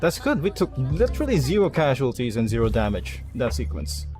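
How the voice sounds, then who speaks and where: A man's voice: medium pitch, wildly swooping pitch, brisk, over music. A man giving casual gameplay commentary, thinking aloud and muttering half-finished plans with some mild swearing. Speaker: video game streamer.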